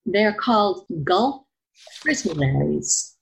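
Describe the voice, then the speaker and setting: A woman's voice: on the low side, big pitch swings, slow, creaky, full character voice, poor audio. An actress playing a prickly, defensive, self-deprecating woman in a romantic comedy audio play, with tart, pedantic retorts. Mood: dry, softening.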